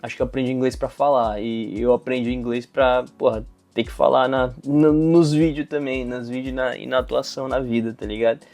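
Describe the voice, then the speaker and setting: Mellow-voiced young Brazilian man venting. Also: medium pitch, brisk, soft background music. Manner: slangy, profane, animated venting. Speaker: aspiring actor vlogger. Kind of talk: casual talk to camera.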